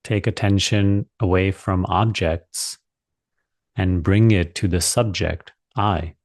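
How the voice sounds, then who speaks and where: A man leading a guided meditation as a teacher, speaking calmly and deliberately with long pauses. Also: deep voice, dry recording, studio quality.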